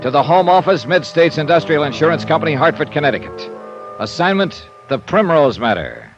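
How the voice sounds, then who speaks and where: An actor playing a shrewd, self-assured insurance investigator in an old-time radio detective drama, with a clipped, probing delivery. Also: male voice, fairly high pitch, sing-song, brisk. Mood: dry narrating. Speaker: lead actor and narrator. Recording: dull vintage radio recording, faint music.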